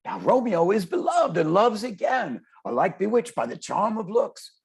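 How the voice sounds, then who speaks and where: A man playing a brash, streetwise poetic performer with a gravelly, husky voice, swaggering and declamatory in a table read. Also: high-pitched, very animated, normal speed, heavily acted. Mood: solemn, declamatory. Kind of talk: dramatic table read, slang and verse. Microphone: video call. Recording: video call.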